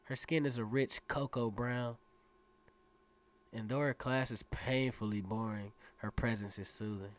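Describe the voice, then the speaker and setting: A man's voice: medium pitch, steady tone, unhurried, lightly acted. An actor playing a cocky, dreamy teenage circus acrobat who narrates his own story in a casual, self-assured way for a radio drama. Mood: dreamy, admiring. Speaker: narrator and lead character. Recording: music playing behind the voice. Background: instrumental music.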